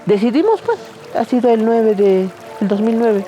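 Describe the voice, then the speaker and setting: A woman's voice: on the high side, very animated, normal speed, loud music behind the voice, low-quality recording. A woman tells her story with affectionate diminutives. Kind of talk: documentary interview.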